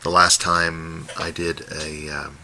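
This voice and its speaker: A man giving a casual, unscripted demonstration as a hobbyist presenter, talking in a halting, musing way. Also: rumbling low, level tone, sharp tone. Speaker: radio hobbyist presenting a comparison. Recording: faint background noise.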